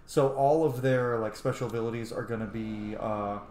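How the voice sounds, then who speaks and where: A man's voice: medium pitch, fairly even intonation, moderate pace, a little mellow, a little hiss or hum. A man giving informal video game playthrough commentary, musing aloud as he plays. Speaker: gaming YouTuber. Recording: roomy echo, game music behind. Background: video game music.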